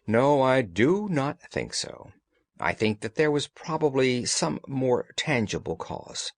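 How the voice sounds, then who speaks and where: A man voicing a commanding, analytical gentleman detective in an audiobook, speaking precisely and formally. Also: medium pitch, very animated, slow pace, dry recording. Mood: measured, reassuring. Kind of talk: audiobook character dialogue.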